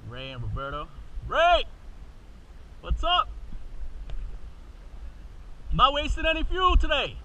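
A man's voice: extremely high pitch, wildly swooping pitch, takes it slowly, pauses often, rough recording. A man giving casual running commentary from a small sailboat, whooping and calling out to people ashore, recorded on a boat-mounted action camera. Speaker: sailor filming his own boat trip. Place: small sailboat out on open water. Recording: loud wind and water noise.

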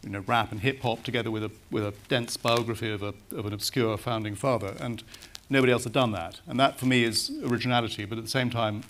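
A man's voice: medium pitch, steady tone, fast, fairly bright tone, a little hiss or hum. A middle-aged man answering an audience question at a panel, thoughtful, often restarting as he searches for words. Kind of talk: festival panel discussion. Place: large marquee with audience.

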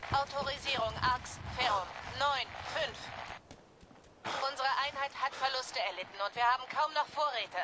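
An actress playing a soldier sending a clipped, formal distress broadcast over military radio in a video game. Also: female voice, high-pitched, normal speed. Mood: formal, urgent. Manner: clipped, official, controlled. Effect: radio transmission. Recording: noisy radio with outdoor game sounds.

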